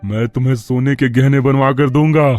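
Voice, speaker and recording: Man acting rich young schemer, ingratiating, flattering, mid pitch, storybook cartoon, music behind. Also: normal speed, natural voice. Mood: flattering, sly. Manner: smooth-talking, ingratiating.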